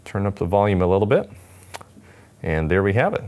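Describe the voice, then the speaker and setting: Low-pitched young man, upbeat demo. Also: big pitch swings, brisk, darkish tone. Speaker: product demonstrator for a radio company. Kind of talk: casual unboxing demonstration to camera. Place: indoor studio set with table. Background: background music.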